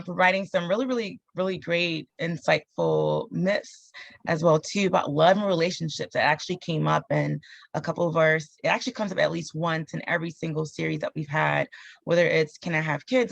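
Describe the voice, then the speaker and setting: Lady host running q and a, a bit of vocal fry, dry close sound. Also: fairly low pitch, no echo. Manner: warm, organized, reads audience questions. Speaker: host and moderator. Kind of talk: webinar moderation.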